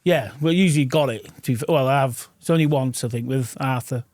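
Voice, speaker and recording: A man's voice: medium pitch, fairly quick, a little mellow, no room sound. A man, a football club kit man, chatting casually on a podcast in a kit room, with short, hesitant, chuckling answers.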